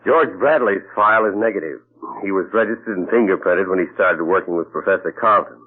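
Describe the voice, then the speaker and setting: A blunt, matter-of-fact intelligence captain with a very deep voice. The sound is thin and phone-like, from an old radio broadcast. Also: male voice, brisk. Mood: businesslike. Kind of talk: 1940s radio acting.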